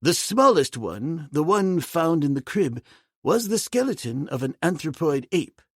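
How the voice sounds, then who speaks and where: A man reading an audiobook, voicing an excitable, prim older scholar with old-fashioned exclamations. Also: fairly high pitch, measured pace, breathy edge, no room sound, studio quality, pitched above the performer's own voice, heavily acted. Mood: wary. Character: excitable older male scholar, fussy and wondering. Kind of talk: audiobook character voice.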